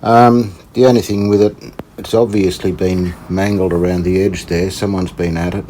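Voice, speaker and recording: A man with a low, soft-edged, dark voice talks through repair work in a casual way. He is unhurried and a little tentative, thinking aloud as he goes. There is faint noise behind him. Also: fairly even intonation, low-quality recording. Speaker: host of a radio repair channel. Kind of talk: casual hands-on repair commentary.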